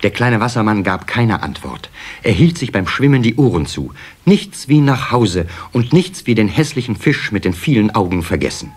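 A man narrating a children's story in a measured storyteller manner. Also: fairly low pitch, sing-song, husky.

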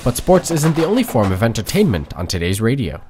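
A man's voice: medium pitch, big pitch swings, a little fast, heavy background noise. A man reading scripted narration for a documentary.

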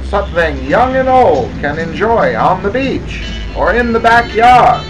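A man's voice: high voice, sing-song, unhurried, over music, rough recording. A man narrating a parody old-time newsreel in a mock-grandiose announcer delivery.

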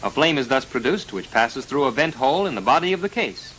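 Bright-voiced American male training film narrator. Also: medium pitch, very animated. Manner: formal, precise, measured enunciation. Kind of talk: scripted 1940s military instructional narration. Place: voice-over recorded for a film. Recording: noisy vintage 1940s film soundtrack.

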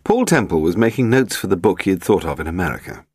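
Very deep British male audiobook narrator. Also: big pitch swings, fast, darkish tone, slightly rough, no room sound, studio quality. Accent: British English. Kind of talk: scripted narration of a detective novel.

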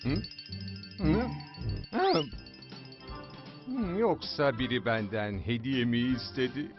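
A woman's voice: extremely low pitch, expressive, slow pace, exaggerated voice. A woman voicing a friendly, jolly gift-giving cute dragon in a dubbed preschool cartoon, with a singsong manner. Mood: cheerful, eager. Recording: dull lo-fi cartoon audio under loud music.

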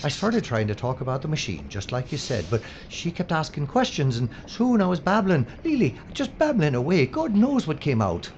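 A man's voice: fairly high pitch, huge pitch swings, normal speed, few pauses, darkish tone. An actor playing an anxious, whiny, bumbling film crew man in an audio drama. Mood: flustered. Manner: flustered, whiny, babbling. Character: anxious bumbling male film crew member, easily flustered. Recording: dull, noisy audio drama recording.

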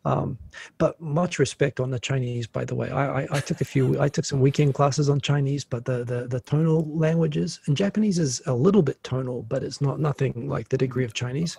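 A man appearing as a podcast guest over a video call, calmly explaining things in a casual, knowledgeable way. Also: mid-range voice, gravelly, slightly rough, no echo. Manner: explanatory, knowledgeable, quick asides.

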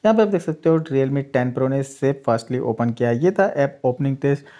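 A man's voice: mid-range voice, normal speed, mellow. A man, the host of a tech channel, steadily narrating a side-by-side phone speed test and addressing viewers as friends.

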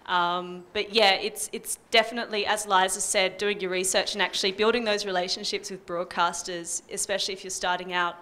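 A woman's voice: medium pitch, fairly even intonation, a little fast, few pauses, pushed and bright. A young Australian woman explaining things informally as a panel speaker, on a handheld microphone. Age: young adult. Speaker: panel speaker from a community radio music service. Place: indoor music venue, small stage panel.

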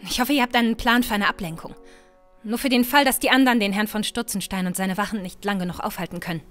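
A woman playing a bold, sharp-tongued, impatient young adventuress in a fan-made fantasy audio drama. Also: medium pitch, fast talker, soft background music, natural voice. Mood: dry, stern. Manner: sarcastic, bossy.

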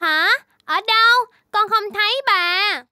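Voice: extremely high pitch, takes it slowly, sharp tone, slight background noise, heavily acted. A performer voicing a little baby shark child in a children's cartoon, with a childlike, exclamatory manner. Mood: puzzled. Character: cheerful little baby shark child, reluctant about washing.

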